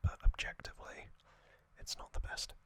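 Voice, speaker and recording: A man hosting an ASMR recap in a breathy whisper, musing casually and giving his own opinions as he goes. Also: moderate pace, bright, forceful tone. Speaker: ASMR video host.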